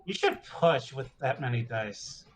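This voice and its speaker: A man's voice: medium pitch, a little slow. A man playing a tabletop game, chatting casually about his moves and dice rolls. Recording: faint background noise.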